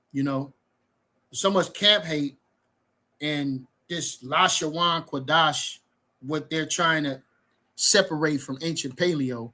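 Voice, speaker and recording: A man taking part in a bible study discussion, speaking haltingly and searching for words. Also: fairly high pitch, bright, forceful tone. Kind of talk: casual discussion.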